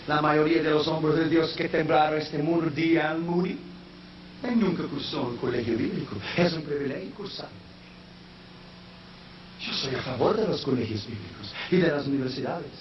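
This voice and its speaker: A man preaching a sermon in a language that is not his first, slipping in English phrases and rising into shouted, sung-out peaks. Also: on the high side, normal speed. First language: not Spanish. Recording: echoey, distant, dull sound with faint noise.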